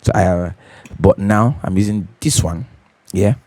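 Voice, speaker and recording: A man with a deep, bassy voice hosting a home recording tutorial, talking casually and repeating his key points as he checks in with viewers. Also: measured pace, darkish tone, no echo. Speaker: host of a home recording channel. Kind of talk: casual tutorial talk to camera. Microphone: studio condenser microphone.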